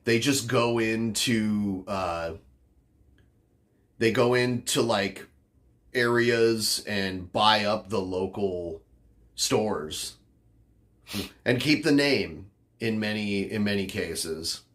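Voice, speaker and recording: Low-ish guy from up north just rambling on his stream, lots of likes and you knows. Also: very slow, long pauses, fairly bright tone. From northern United States. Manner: rambling, filler-heavy, offhand. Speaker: podcast host. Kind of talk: casual chat answering chat.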